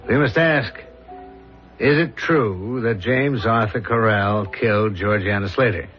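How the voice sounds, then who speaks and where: A low-pitched man an actor plays a dogged police inspector who never stops being a cop. He speaks in deliberate, probing, clipped questions in 1970s radio drama style, on a dull old recording with some music behind. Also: close to an everyday voice. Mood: musing, suspicious. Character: dogged, brooding police inspector husband, always on duty.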